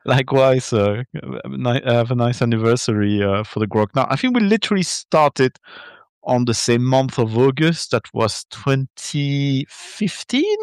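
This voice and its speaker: A man's voice: medium pitch, sing-song, measured pace, dry recording, clean studio sound. A man from Belgium, a native French speaker with a French Belgian accent, talking as a podcast guest over a video call in a chatty, hesitant way. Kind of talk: interview conversation.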